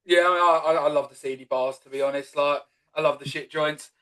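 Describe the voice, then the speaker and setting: Cockney podcast host, high voice, loose and sweary, brotherly chatter. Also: male voice, steady tone, brisk, a bit bright. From East London. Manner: swears freely, calls guest brother and man. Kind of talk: casual interview chat.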